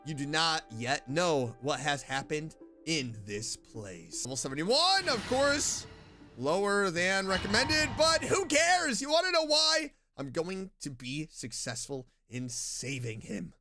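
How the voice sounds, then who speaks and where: Bright, high-pitched animated male gamer. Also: unhurried, faint music behind. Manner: animated, reacting playfully. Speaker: streamer, gamer. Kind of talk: game commentary, reading dialogue aloud.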